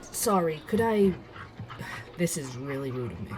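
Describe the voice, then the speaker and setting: A man acting a nervous, eager young barista and podcaster in an urban fantasy audio drama, halting and apologetic. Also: fairly high pitch, animated, noisy background. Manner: halting, self-interrupting, awkward.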